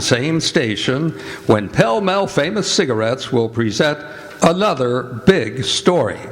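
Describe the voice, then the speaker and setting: A man doing formal, deliberate scripted announcing in old-time radio style. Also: fairly high pitch.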